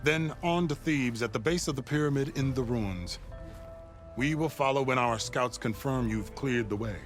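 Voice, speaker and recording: An actor playing a formal, reverent overseer and advisor to a ruler in a video game, speaking ceremoniously. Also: male voice, medium pitch, normal speed, faint music behind, natural voice. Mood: formal, grave. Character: solemn, devout male overseer and deferential advisor to ruler. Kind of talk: dramatic video game acting.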